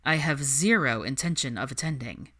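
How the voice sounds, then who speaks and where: A woman voicing a reserved, dry young man in a story, with a curt deadpan manner. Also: rumbling low, moderate pace, voice pushed down, heavily acted. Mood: flatly refusing.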